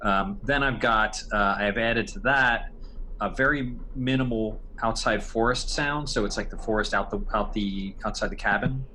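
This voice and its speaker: A man giving an informal tutorial, talking haltingly and thinking aloud as he demonstrates his work. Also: lowish voice, sharp tone, slight background noise. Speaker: podcast creator demonstrating sound design.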